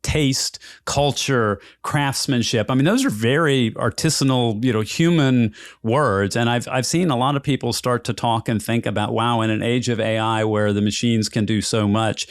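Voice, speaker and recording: Male podcast host, medium pitch, dry recording, conversational interviewer who reasons aloud in analogies. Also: normal speed, few pauses, dry recording. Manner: explains through extended analogies, rhetorical "right?" checks.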